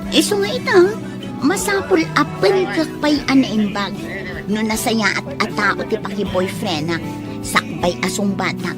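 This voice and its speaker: A woman playing a giggly, smitten young woman in a Filipino radio drama, with an expressive, teasing delivery and a Filipino accent. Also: mid-range voice, unhurried, natural voice. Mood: concerned. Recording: radio drama with music underneath.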